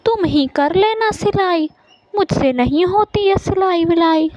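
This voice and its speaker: A woman's voice: extremely high pitch, a little slow, a little mellow, dry recording, highs cut off, voice pushed up. A woman voicing a haughty, irritable female crow in a cartoon, scornful and complaining. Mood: irritated scorn. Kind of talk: Hindi cartoon character voice.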